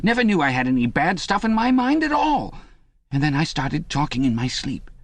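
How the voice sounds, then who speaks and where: A man voicing a panicky, servile middle-aged prisoner who talks in a high, pleading, blubbering way. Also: very animated, no room sound. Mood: anxious. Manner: high, fast, wheedling and blubbering.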